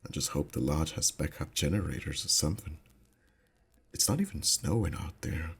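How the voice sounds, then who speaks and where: Very deep, soft-spoken boyfriend played by a man. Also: lively intonation, normal speed, vocal fry, slightly rough, full character voice. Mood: relaxed, musing. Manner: soft, intimate, slipping into whispers. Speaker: voice actor. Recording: faint ambient background noise.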